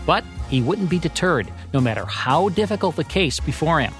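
A man co-hosting a radio program about old radio shows, reading a measured script. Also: a little high, big pitch swings, a bit bright, soft background music. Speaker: radio show co-host. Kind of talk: scripted radio history narration.

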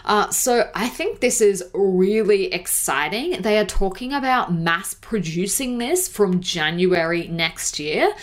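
A woman's voice: mid-range voice, lively intonation, measured pace, few pauses. A woman hosting a podcast in a casual, chatty way, sounding a bit congested from a cold, with frequent fillers. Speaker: podcast host. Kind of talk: casual solo podcast chat.

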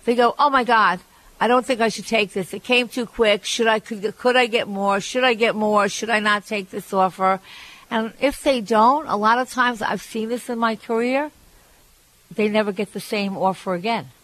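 A woman's voice: a little high, normal speed, fairly bright tone, no echo, poor audio. Chatty woman radio host, dry studio sound. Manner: chatty, frank, restarts sentences. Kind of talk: advice call-in radio talk.

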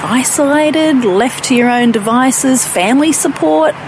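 A woman interviewer asking gentle, probing questions and paying compliments. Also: high voice, unhurried, background noise.

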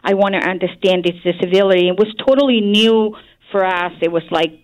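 A woman from Chile with a Chilean Spanish accent, a radio interview guest who pauses and searches for her words, often with um and uh. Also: medium pitch, poor audio. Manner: hesitant, searching for words, frequent um and uh.

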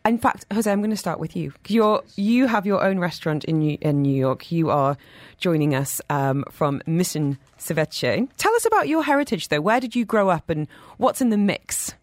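A woman's voice: on the low side, lively intonation, moderate pace, dry recording. A woman hosting a radio food show, interviewing guests in an enthusiastic, chatty way in a studio.

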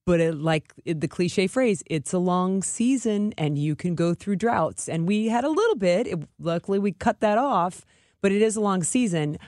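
A woman's voice: lowish voice, expressive, normal speed, darkish tone, dry recording. Informal woman co-host, close dry sound. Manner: informal, thinks aloud in loose phrases. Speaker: sports radio co-host. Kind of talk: conversational soccer discussion.

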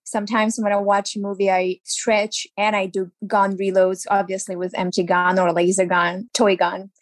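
Woman guest, lively and chatty. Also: medium pitch, normal speed, dry recording. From Ukraine. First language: Ukrainian. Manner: lively, chatty, laughs easily. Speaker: guest, actress. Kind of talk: casual interview talk.

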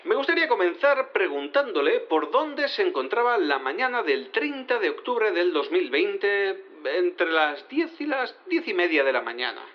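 A man's voice: high voice, very animated, moderate pace, few pauses, highs cut off. An actor playing a formal, oddly literal male defence lawyer politely questioning a witness. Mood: formal, earnest.

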